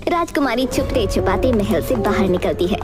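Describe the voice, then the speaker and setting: A woman's voice: mid-range voice, lively intonation, normal speed, over loud music. A woman narrating a scripted children's fairy tale.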